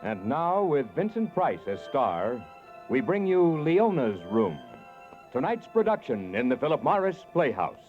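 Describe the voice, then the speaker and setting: A male 1940s radio announcer with a high, animated, projected voice. He presents formally over loud orchestral music. The vintage recording sounds dull. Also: unhurried.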